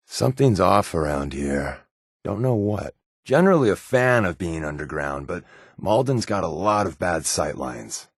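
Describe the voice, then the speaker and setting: A man's voice: deep voice, lively intonation, moderate pace, husky, dry recording, clean studio sound, exaggerated voice. A man playing a wry, laid-back spy companion in a post-apocalyptic video game, given to sardonic asides. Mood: subdued, somber.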